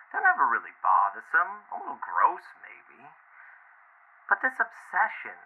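An actor playing an agitated boyfriend coming undone, rambling and swearing in halting speech over the phone in an audio drama. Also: male voice, medium pitch, gravelly, heavily acted. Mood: uneasy, musing. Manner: rambling, profane, halting with bitter laughs. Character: agitated, unravelling boyfriend ranting about his partner's obsession. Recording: tinny, narrow phone line.

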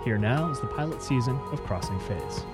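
A man's voice: medium pitch, expressive, fairly quick. An American man reading a scripted podcast introduction as co-host. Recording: loud music behind the voice. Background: loud music.

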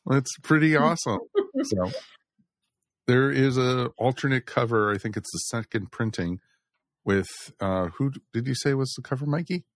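A man's voice: medium pitch, moderate pace, no room sound, studio quality. An American man hosting a podcast in a casual, chatty style. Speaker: podcast host.

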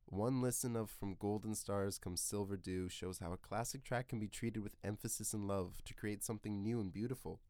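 A man's voice: on the low side, moderate pace, few pauses, a little mellow, no echo, clean studio sound. A man hosting a podcast, reading scripted narration in an earnest, literary way. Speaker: podcast host.

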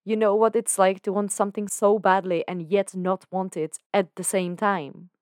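A woman's voice: mid-range voice, little pitch movement, moderate pace, a little mellow, dry recording, studio quality, voice pushed up, natural voice. A woman voicing an emotional young man who talks in an earnest, candid way with casual slang. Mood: confessional. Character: young man, emotional and confessional, self-doubting.

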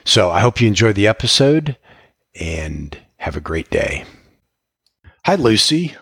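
A man hosting a podcast interview, friendly and measured, asking questions with occasional fillers. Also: fairly low pitch, sing-song, a bit bright, breathy edge, dry recording, clean studio sound. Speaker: podcast host.

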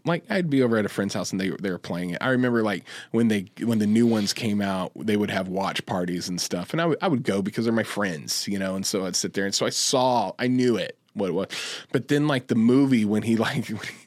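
Male podcast co-host, low pitch with lively swings, chatty and enthusiastic, close dry sound. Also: fairly quick, no echo. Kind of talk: casual chat about video game movies.

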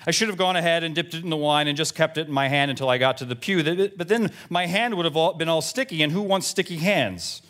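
A man's voice: fairly high pitch, fast, hardly any pauses, fairly bright tone, natural voice. A man voicing a nosy, self-righteous Southern church lady in her seventies, indignant and blunt, in a comic reading of emails. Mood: chatty, prim.

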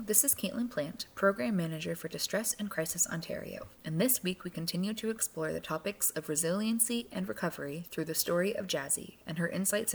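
A woman with a fairly low voice reads a scripted podcast introduction. Her delivery is formal and polished, in the manner of a program manager. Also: vocal fry.

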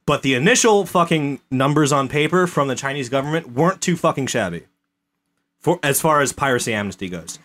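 A man's voice: medium pitch, expressive, brisk, fairly bright tone. A man hosting a casual history podcast, retelling events with lots of swearing while drinking. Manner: swearing, storytelling, slips into voices for quotes. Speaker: podcast host.

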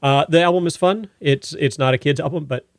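A man's voice: a little high, a little fast, creaky, no room sound, studio quality. A man hosting a radio music show, chatting casually as he announces songs.

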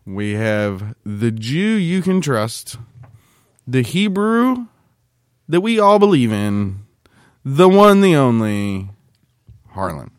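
A man's voice: medium pitch, sing-song, slow, pauses often, clear, resonant tone, no echo, studio quality. A man hosting a podcast, bantering casually in a teasing, sardonic way. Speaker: podcast host.

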